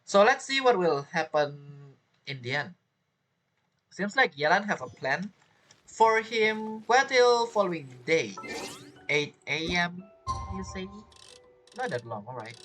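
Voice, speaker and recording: Let's play streamer, very animated and bright, rambling reactions over game music. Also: medium pitch, takes it slowly, pauses often. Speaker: gaming streamer. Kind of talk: casual let's play commentary.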